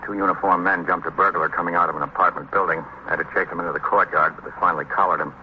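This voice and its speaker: An actor playing a terse, businesslike American police lieutenant, reporting in clipped, matter-of-fact phrases in an old-time radio drama. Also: male voice, low-pitched, fairly even intonation, racing, lightly acted. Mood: terse, factual. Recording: muffled old radio recording, faint noise.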